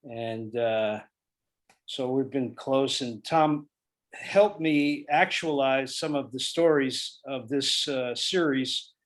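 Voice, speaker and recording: A man hosting a table read on a video call, polite and hesitant, with many pauses and fillers. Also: mid-range voice. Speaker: writer and host of the table read. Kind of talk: casual video call chat.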